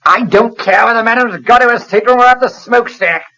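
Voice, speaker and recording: Casting: brusque, irritable gentleman traveller, high-pitched, snapping orders, old radio drama. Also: quick pace, heavily acted. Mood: irritable, imperious. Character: curt, short-tempered male ship's passenger. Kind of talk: 1940s British radio acting. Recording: old 1940s radio broadcast.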